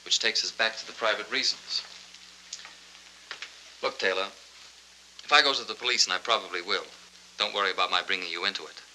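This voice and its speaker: An actor playing a genial, composed club owner in a 1940s film noir, on a noisy old soundtrack. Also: male voice, on the low side, steady tone, fairly quick, long pauses, pushed and bright. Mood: cool, businesslike.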